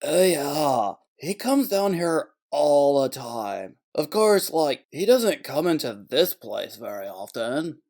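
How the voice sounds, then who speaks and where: A man playing a chatty, weary slaughterhouse worker in a comic noir audio play, rambling and deadpan. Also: on the high side, slow, few pauses, exaggerated voice. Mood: chatty, blunt.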